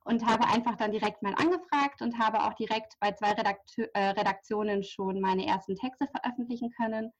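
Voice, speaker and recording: A woman's voice: fairly high pitch, fairly even intonation, a little fast, hardly any pauses. Chatty woman guest, slightly muffled sound. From near Hamburg, Germany. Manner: chatty, many fillers, laughs lightly. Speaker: podcast guest, journalist and horse therapist. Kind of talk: casual interview talk. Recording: slightly dull lo-fi audio.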